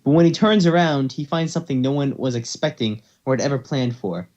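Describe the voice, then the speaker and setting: A man's voice: medium pitch, brisk, darkish tone, lo-fi sound. A man hosting and narrating an amateur story reading, slipping between scripted narration and casual banter. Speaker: narrator and host.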